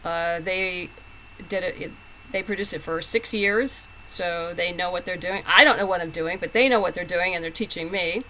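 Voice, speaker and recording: A woman's voice: lowish voice, moderate pace, sharp tone, slight background noise, low-quality recording. A woman talking casually as an interview guest, explaining things in a rambling way with self-interruptions. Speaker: podcast guest, comics writer.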